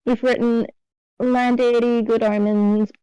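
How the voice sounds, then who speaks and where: A young woman from New Zealand with an accent softened by years in Australia, chatting calmly with a dry, teasing manner. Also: a little high, unhurried, low-quality recording. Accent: Australian-tinged New Zealand. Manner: dry teasing, calm, coaching. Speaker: co-host. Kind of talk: casual worldbuilding chat.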